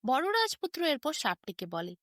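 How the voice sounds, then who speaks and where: A woman's voice: high-pitched, sing-song, normal speed, a bit bright, dry recording, clean studio sound. A woman narrating a fairy tale as a bedtime story, with a sing-song storytelling delivery. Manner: sing-song storytelling with wide rises and falls.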